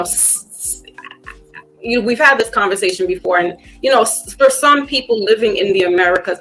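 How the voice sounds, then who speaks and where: A woman's voice: medium pitch, a bit bright, rough recording. A woman hosting a podcast speaks in casual conversation, reflective and full of fillers, then grows emphatic. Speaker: podcast host.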